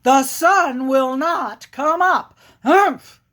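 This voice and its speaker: A woman's voice: sits high, extremely slow, fairly bright tone, heavily acted. A woman voicing a stubborn, proud, grumpy big male bear in an animal folktale, with emphatic, blustering harrumphs. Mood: stubborn, huffy.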